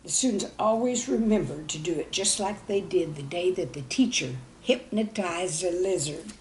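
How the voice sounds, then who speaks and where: A woman telling stories to young children in an expressive, folksy way, speaking to them directly. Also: fairly low pitch, moderate pace, slight background noise, poor audio. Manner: folksy, animated, addresses young listeners directly. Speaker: children's storyteller.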